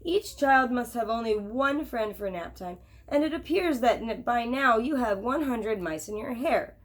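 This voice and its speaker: Woman as a storybook schoolteacher, apologetic, firm, rule-minded, raised lively pitch. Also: unhurried.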